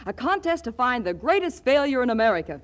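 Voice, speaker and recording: Snappy, lively radio schemer. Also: female voice, a little high, fast. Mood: scheming, eager. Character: shrewd, brisk woman publicity schemer. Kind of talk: 1940s radio comedy acting.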